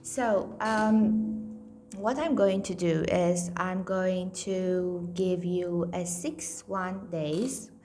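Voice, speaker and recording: Blunt Indian-accented woman, slow talk. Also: on the low side, fairly even intonation, warm tone, soft background music. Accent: Indian-tinged English. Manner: candid, blunt, swears freely, self-mocking. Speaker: nutrition coach. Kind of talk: casual weight-loss vlog.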